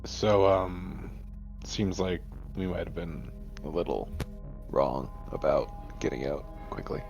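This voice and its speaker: Male tabletop player, casual and bemused, halting delivery, dull recording, faint music underneath. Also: low voice, gravelly. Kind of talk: casual in-character game chat.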